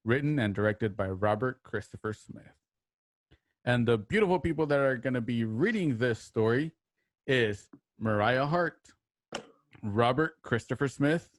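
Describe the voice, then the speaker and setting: A man's voice: medium pitch, no room sound. A man hosting a radio drama show, introducing it with a playful, theatrical announcer delivery and joking banter.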